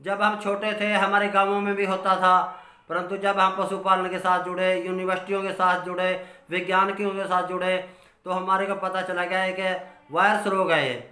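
High-pitched older man. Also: flat delivery, moderate pace, bright, forceful tone. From Haryana, India. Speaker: dairy farmer giving advice. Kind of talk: informal instructional talk from notes. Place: small curtained room at home. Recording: roomy indoor echo.

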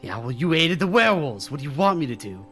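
A man's voice: on the high side, big pitch swings, quick pace. A man playing a proud, paranoid vampire leader in an amateur game roleplay, haughty and dismissive. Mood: scornful. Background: music.

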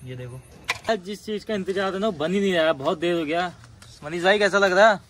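Guy vlogging at a camp, kinda high voice, noisy outdoor handheld sound. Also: fairly quick, fairly bright tone. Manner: addresses viewers and prompts his friends. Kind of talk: casual handheld vlog chat with friends.

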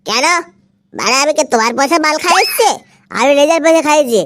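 Very high-pitched, belligerent cartoon father voiced by a woman. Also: expressive, brisk, noisy background. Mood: defiant, quarrelsome. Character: hard-drinking, broke, belligerent village father. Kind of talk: comedy cartoon dialogue.

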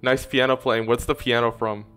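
A young man at a desk in a gaming chair, hosting a reaction stream into a large microphone. His voice sits at an ordinary mid pitch. He rambles casually, stacking fillers as he thinks aloud. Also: level tone, normal speed, a bit bright, smooth, soft background music. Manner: rambling, filler-heavy, many likes. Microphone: large desk microphone with headset.

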